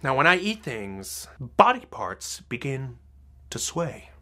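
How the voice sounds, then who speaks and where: A young woman telling a comic personal story, animated and breaking into shouts and laughter. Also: very deep voice, slow pace.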